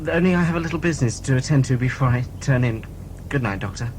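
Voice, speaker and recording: A man's voice: mid-range voice, lively intonation, quick pace, a little mellow, close to an everyday voice. A man playing a shady, scheming, prying and bossy passenger in an audio drama. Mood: smooth, prying. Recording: noisy hobby cassette recording.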